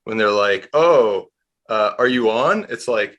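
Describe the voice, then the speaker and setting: A man's voice: lowish voice, animated, measured pace, a bit bright, lo-fi sound. A man working as an industrials analyst, giving conversational market commentary in a rambling, candid way with dry asides.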